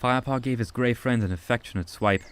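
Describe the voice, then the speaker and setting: A man's voice: mid-range voice, fast, slight background noise. A man reading scripted story narration as an audiobook narrator.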